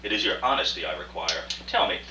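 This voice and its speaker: A man playing a genteel, wealthy aging art dealer in a radio play, speaking formally and deliberately, by turns reflective and defensive. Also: low-pitched, lively intonation. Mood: probing, courtly. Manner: formal, courtly, deliberate storytelling. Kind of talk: radio play acting, rehearsed dialogue. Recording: distant, echoey room with faint noise and hum.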